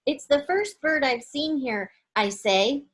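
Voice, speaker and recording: A woman's voice: high voice, lively intonation, slow, fairly bright tone, pitched above the performer's own voice, heavily acted. A woman voicing an earnest, emphatic young girl in a storybook. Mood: excited.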